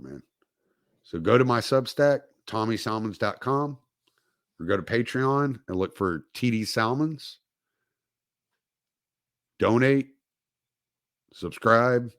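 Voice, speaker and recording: A man's voice: on the low side, no room sound, studio quality. A male host in casual livestream talk, laid-back and chuckling, with words that trail off.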